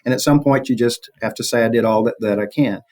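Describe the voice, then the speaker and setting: Man, medium pitch, expert interviewee, conversational, explanatory, reflective, rephrases mid-thought. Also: fast, darkish tone. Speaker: academic expert guest.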